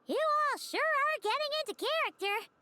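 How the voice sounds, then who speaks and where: A woman voicing a small, childlike, excitable companion creature in a video game, with a squeaky voice. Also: moderate pace, heavy background noise. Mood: eager, chatty. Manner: refers to itself by name.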